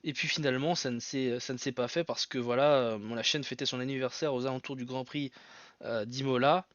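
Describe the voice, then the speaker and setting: A man's voice: mid-range voice, fairly even intonation, a little fast, dry recording, muffled recording. A man hosting his own podcast alone, with a Portuguese mother tongue, talking in a hesitant way full of fillers and restarts. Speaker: podcast host. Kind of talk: solo podcast talk.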